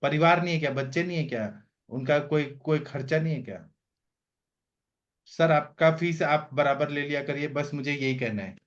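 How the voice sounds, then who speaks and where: Man, fairly high voice, emphatic and direct, video call, muffled lo-fi sound. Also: normal speed. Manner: direct, emphatic, rhetorical questions. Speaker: Ayurvedic doctor hosting an online consultation session. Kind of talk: casual instructive talk.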